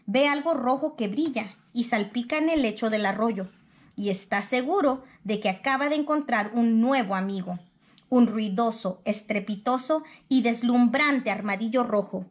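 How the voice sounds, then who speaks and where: A woman with a high voice reads a children's picture book aloud. Her delivery is expressive storybook narration. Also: moderate pace.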